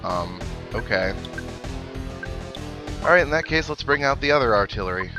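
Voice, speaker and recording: A man's voice: mid-range voice, expressive, normal speed. A man giving casual video game commentary, thinking aloud with analytical asides as he plays. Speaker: let's player. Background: video game music and sound effects.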